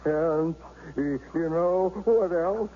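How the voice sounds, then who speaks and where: A halting, grateful recovering husband. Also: male voice, fairly high pitch. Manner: halting, stammering, emotional. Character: recovering man, Eddie, regaining use of arm. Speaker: actor in radio drama. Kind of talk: 1970s radio drama acting. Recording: dull vintage radio recording with faint hiss.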